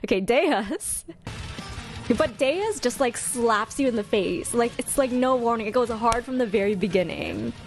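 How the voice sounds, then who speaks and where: Expressive high-voiced woman streamer over music. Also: measured pace. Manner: expressive, gushing, deliberating aloud. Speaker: game streamer and host. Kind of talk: casual livestream commentary, chatting with viewers.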